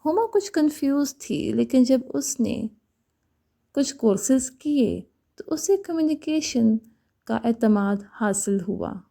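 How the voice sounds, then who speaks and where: A woman narrates a romantic story in a very dark, mellow voice. Her delivery is slow and scripted, with a creaky edge to a fairly high voice. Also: lively intonation.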